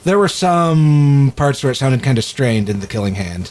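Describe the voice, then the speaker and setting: Slightly raspy man in casual discussion. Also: mid-range voice, animated, moderate pace, darkish tone. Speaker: podcast co-host. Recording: clear background noise.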